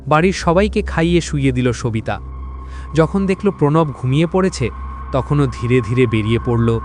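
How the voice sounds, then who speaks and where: A man's voice: mid-range voice, animated, moderate pace, darkish tone, slight background noise. A man narrating a scripted horror story in a dramatic storytelling style.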